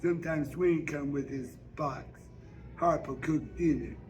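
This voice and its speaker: A man reading a first-person novel aloud as an amateur narrator, halting, with stumbles and repeated words. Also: a little high, a little mellow, faint music behind. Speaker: amateur audiobook reader. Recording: faint background noise.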